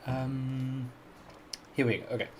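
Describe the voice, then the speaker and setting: A man's voice: mid-range voice, little pitch movement, slow pace, slight background noise. A South African man hosting a casual live-stream Q&A, chatty and earnest, who laughs often and pronounces his words very carefully. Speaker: music reaction YouTuber hosting a live stream.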